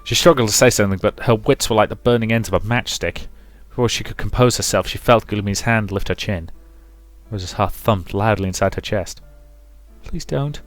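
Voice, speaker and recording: Man, low voice, visual novel narration, let's play reading, music behind. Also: steady tone, a little fast, no room sound.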